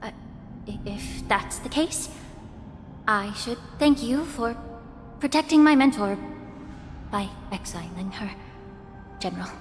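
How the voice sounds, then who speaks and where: An actress playing a poised, guarded and analytical woman official in a video game, speaking formally and deliberately. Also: medium pitch, animated. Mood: guarded, polite. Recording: long reverb under background music.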